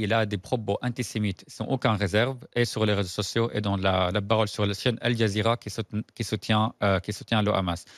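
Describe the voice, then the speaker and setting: A man's voice: no echo, clean studio sound. Syrian-born man speaking emphatically. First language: Arabic. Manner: emphatic, many restarts, rising to high outbursts. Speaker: journalist and author guest. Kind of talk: TV debate interview.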